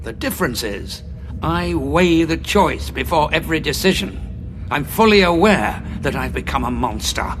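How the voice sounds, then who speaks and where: An actor playing a grim, weary time-travelling war veteran who speaks in a cold, measured, self-assured way. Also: male voice, fairly high pitch, lively intonation, a little hiss or hum, natural voice. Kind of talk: audio drama acting.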